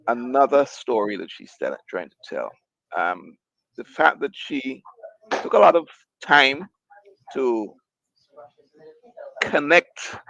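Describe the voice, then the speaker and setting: A man's voice: medium pitch, gravelly, fairly bright tone, no echo. A man on a book club panel, musing tentatively with hesitant fillers. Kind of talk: casual panel discussion. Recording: dull, dry lo-fi sound.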